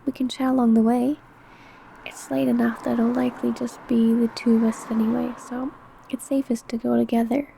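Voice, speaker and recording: A woman acts out an ancient, obsessive vampire woman in an ASMR roleplay. Her voice is fairly high yet mellow, soft and breathy, with a little creak in it. She hides a predator's hunger under a sweet, coaxing manner. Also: little pitch movement, fairly quick, slight background noise. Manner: soft, breathy, whispery murmuring.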